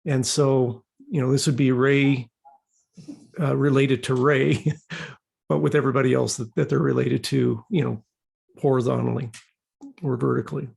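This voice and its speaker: A man's voice: medium pitch, little pitch movement, moderate pace, vocal fry, pauses often, a little mellow, breathy edge. A man giving an informal, unscripted explanation to a group, hesitant and often repeating words as he searches for phrasing. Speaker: class participant presenting an example.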